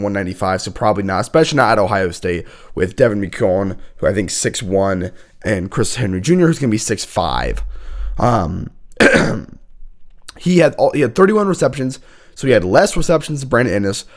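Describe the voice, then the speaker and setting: A young man hosting a college football talk show, hoarse and sick with a nearly lost voice, rambling through stats with fillers and throat-clearing. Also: mid-range voice. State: sick, hoarse, losing his voice. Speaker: host. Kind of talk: casual sports commentary.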